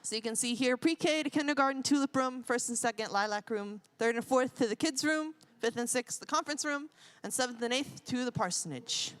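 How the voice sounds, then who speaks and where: A woman emceeing a church service, making brisk, friendly announcements. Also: sits high, clean tone. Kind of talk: casual announcement.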